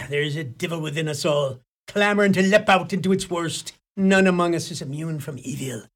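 A man's voice: fairly high pitch, brisk, close to an everyday voice. A male actor gives us a fire-and-brimstone Irish village priest for a radio play. He is stern and pompous, and he preaches in ornate, ominous phrases with an Irish accent. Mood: pious, reproving.

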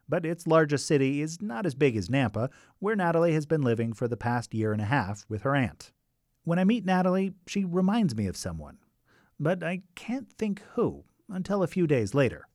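A man's voice: mid-range voice, darkish tone, no room sound, clean studio sound. A man narrating a radio documentary in a scripted but conversational way, with wry asides.

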